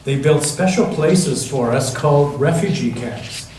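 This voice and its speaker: A man reading aloud the part of a gentle grandmother who recalls a frightening wartime childhood in a storybook. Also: mid-range voice, animated, measured pace, natural voice. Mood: solemn, remembering. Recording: very echoey church room, distant mic, faint noise.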